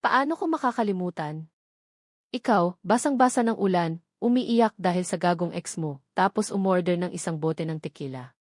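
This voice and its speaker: A woman's voice: fairly low pitch, normal speed, darkish tone, no echo, studio quality, close to an everyday voice. A woman with a Filipino accent voicing a devoted young prince, a tender and earnest husband in an audiobook romance. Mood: teasing.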